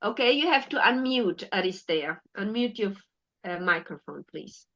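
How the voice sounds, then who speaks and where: A woman's voice: mid-range voice, lively intonation, a bit bright. A woman moderating an online poetry reading, introducing poets and guiding them through the call patiently. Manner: slow, patient announcing. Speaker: event moderator. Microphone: video call. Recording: dull lo-fi video call.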